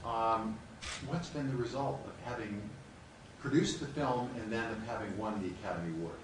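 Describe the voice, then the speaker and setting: A man's voice: fairly low pitch, normal speed. A man moderating a live panel discussion, putting questions to his guests in front of an audience. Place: large room with an audience. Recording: echoey hall, distant mic, noisy.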